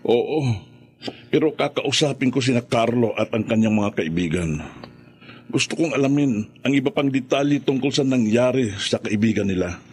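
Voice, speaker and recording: An actor playing a concerned, sorrowful middle-aged father in a Filipino radio horror drama, speaking gravely. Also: mid-range voice, moderate pace, a little mellow, natural voice. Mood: shaken, grave.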